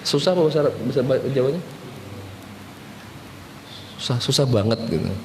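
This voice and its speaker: A man's voice: mid-range voice, expressive, brisk, noisy background. A man giving a casual, joking religious talk as a preacher, often mimicking regional accents. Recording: long hall reverb, faint ambience.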